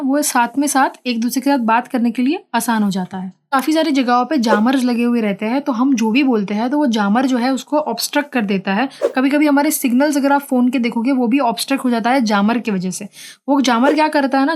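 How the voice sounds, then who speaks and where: A woman with an Indian accent and a distinctly high-pitched voice presents a product review. Her delivery is conversational and explanatory, addressed straight to the viewer as she demonstrates how things work. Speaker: product reviewer and channel host.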